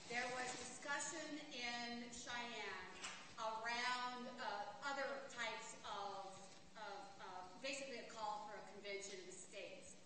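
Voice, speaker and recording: A woman asks an emphatic question from the audience, loud but stumbling over a few words. She is heard from far off, fairly high-pitched, in a very echoey hall with clear room noise. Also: slow pace. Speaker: audience member asking a question. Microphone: far from the microphone.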